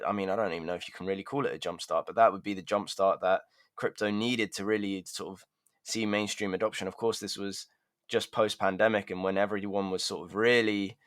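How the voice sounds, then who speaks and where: A man with a fairly low voice chats loosely on a news podcast. He hedges his points with filler phrases as he explains things. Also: fairly bright tone. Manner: chatty, hedging with "sort of". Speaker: podcast co-host. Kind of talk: casual podcast discussion.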